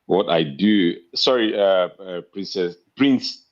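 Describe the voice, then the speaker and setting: A man in his fifties with a Cameroonian accent, talking politely and haltingly as an interview guest. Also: low voice. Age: 50s. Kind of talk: casual interview talk. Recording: dull, lo-fi internet call audio.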